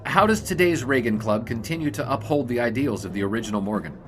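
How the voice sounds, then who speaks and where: A man's voice: mid-range voice, lively intonation, over music, natural voice. A man playing a polite but persistent 1920s newspaper reporter in a period drama, asking careful questions. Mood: polite, probing. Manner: measured, careful, probing questions. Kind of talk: period radio drama acting.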